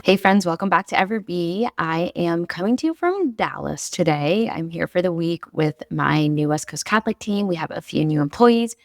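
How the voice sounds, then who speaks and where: A young woman hosting a casual faith podcast, talking softly to spare a strained, slightly raspy voice in an earnest, encouraging, chatty way. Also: fairly low pitch, lively intonation, normal speed, few pauses, no echo, soft background music. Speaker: podcast host.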